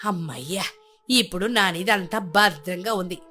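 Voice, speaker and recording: A woman's voice: fairly low pitch, normal speed, pushed and bright, faint music behind, voice pushed down. A woman voicing a foolish, scheming old mother-in-law in a storybook tale, muttering to herself and fretting. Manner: fretful, muttering to herself, then wailing in panic.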